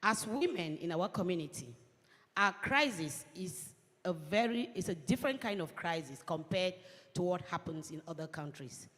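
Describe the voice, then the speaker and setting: A woman from Cameroon, speaking as a panelist in measured, earnest phrases. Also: on the low side, animated, a bit bright. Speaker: panelist, women's organization coordinator. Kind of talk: conference panel remarks. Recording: long reverb of a large hall.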